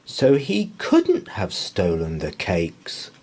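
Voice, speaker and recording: A man voicing an excitable, daring young boy detective in a storybook, with dramatic, exclamatory delivery. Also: sits low, slow, exaggerated voice. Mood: triumphant.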